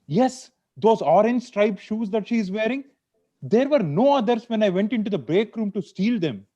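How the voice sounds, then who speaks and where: A man's voice: sits high, expressive, moderate pace, mellow. A man reading the part of a shifty, deferential hired crook in a play script, over a video call. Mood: explaining. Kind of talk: table read of a play script. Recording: dull lo-fi video call audio.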